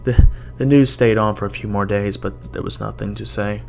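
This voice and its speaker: Gravelly man reading a horror story. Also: fairly low pitch, steady tone, moderate pace, darkish tone, faint music behind. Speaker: amateur horror story narrator. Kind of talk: dramatic first-person creepypasta reading. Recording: noisy amateur home recording.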